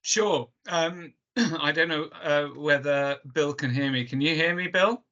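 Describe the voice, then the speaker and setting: A man in the audience with a loud voice, talking at length and rambling with many fillers over a video call. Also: fairly high pitch, unhurried. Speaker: audience member. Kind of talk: question from the audience. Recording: dull lo-fi video call audio.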